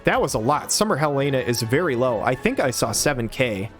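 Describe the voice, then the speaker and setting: A man in his thirties giving quick, excited play-by-play of a game on a headset mic, his voice hoarse from a cold. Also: mid-range voice, soft background music. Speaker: game caster. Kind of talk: play-by-play commentary.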